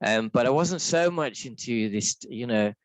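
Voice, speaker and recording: A man with a deep voice leading a reflective scripture reading, talking in a thoughtful, halting way with restarts. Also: animated, no echo, low-quality recording. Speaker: scripture class leader.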